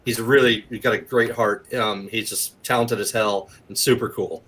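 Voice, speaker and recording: A man's voice: on the low side, steady tone, normal speed, fairly bright tone, breathy edge. A man chatting casually as a guest in an interview. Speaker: guest actor and producer. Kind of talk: casual chat.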